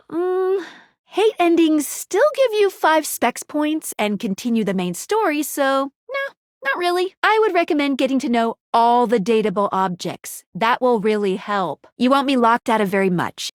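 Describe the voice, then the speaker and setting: A chatty, upbeat sentient gadget guiding the player through a video game. The voice sits high and swings around in lively fashion, playful and nerdy as it explains things. It is a dry, close studio sound. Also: female voice, no room sound. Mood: friendly, reassuring. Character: chatty quirky female sentient object guide, upbeat and nerdy. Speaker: game guide character. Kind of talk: scripted video game character dialogue.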